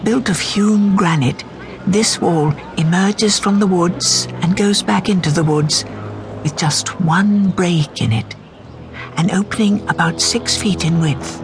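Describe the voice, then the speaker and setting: Seeking fairy-tale narrator for radio drama, mid-range voice, scripted storytelling, set over clear music. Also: medium pitch, slow pace. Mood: measured storytelling. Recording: music under the voice.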